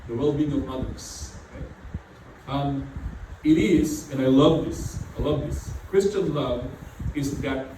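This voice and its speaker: A man's voice: mid-range voice, takes it slowly, hardly any pauses, dark tone. A middle-aged man whose first language is not English, preaching a sermon as pastor and repeating phrases with frequent "you know" fillers. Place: church hall. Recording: very echoey hall, far from mic, background noise.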